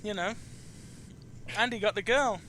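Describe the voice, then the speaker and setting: A man's voice: high-pitched, a little slow, bright, forceful tone, rough recording. A man hosting a casual chat about video games, speaking hesitantly and often repeating and restarting his phrases. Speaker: chat show host interviewing a guest.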